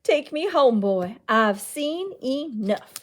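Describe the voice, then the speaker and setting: A woman's voice: high voice, lively intonation, takes it slowly, exaggerated voice. A woman voicing a feisty, wistful old aunt in a storybook, with a folksy, emphatic way of talking. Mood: tearful, weary. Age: older. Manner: folksy, emphatic, scolding and tender by turns. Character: feisty, indignant, wistful old aunt who loves books.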